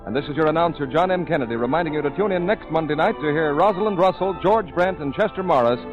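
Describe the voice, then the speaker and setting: A man's voice: on the high side, lively intonation, fast talker, dull audio. A man announcing formally in the style of a 1940s radio broadcast. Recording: old radio broadcast, music and audience.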